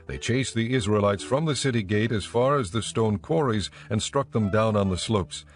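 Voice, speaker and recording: A man narrating scripture as a scripted story, in a measured storytelling manner. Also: on the low side.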